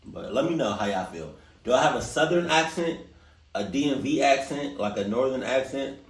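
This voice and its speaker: Man, Maryland accent, reaction host, casual, animated, roomy echo, off-mic. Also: mid-range voice, normal speed, far from the mic. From Maryland, USA. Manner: animated, laughing, talks straight to viewers.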